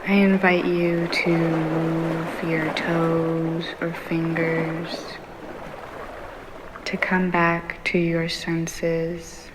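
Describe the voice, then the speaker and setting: A woman leading a guided meditation in a soothing ASMR style, speaking in short unhurried phrases with long pauses. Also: low voice, monotone, over music. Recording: waves and rain sound clearly behind. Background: ambient ocean waves and rain.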